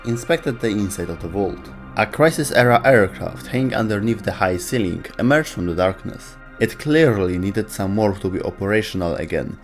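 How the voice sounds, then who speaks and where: Male narrator, fairly deep voice, scripted lore-show delivery, music softly underneath. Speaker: host of a video game lore show.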